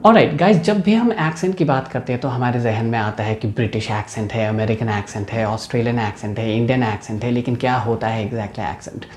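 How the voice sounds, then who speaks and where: A man's voice: medium pitch, fast talker, hardly any pauses, darkish tone, echoey room. Chatty Indian man teaching English. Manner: chatty, mixes English into the talk. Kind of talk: casual YouTube English lesson.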